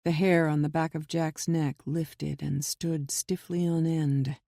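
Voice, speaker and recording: A female audiobook narrator reading a thriller in an even, measured way. Her voice is low and warm-toned. The recording is dry and close, with no room sound. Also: vocal fry, dry recording. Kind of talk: scripted narration.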